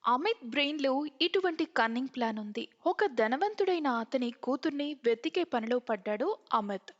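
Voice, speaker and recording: High-voiced woman storyteller in long reverb. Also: lively intonation, moderate pace, lo-fi sound. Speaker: narrator. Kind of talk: scripted story narration.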